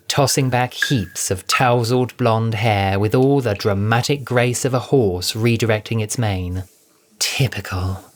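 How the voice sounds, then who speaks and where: A man narrating a scripted audio story in an expressive, arch, dramatic style. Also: fairly low pitch. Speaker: narrator and voice performer.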